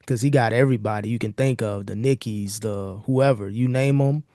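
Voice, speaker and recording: Male podcast host with a warm, relaxed tone and dry sound, explaining casually in easygoing slang. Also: lowish voice, moderate pace, dry recording. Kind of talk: casual chat and commentary on news.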